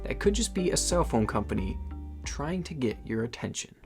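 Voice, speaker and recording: A man whose first language is Igbo, hosting a casual vlog in an excitable, swearing, often disbelieving way. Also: medium pitch, normal speed, vocal fry, loud music behind the voice. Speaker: YouTube host.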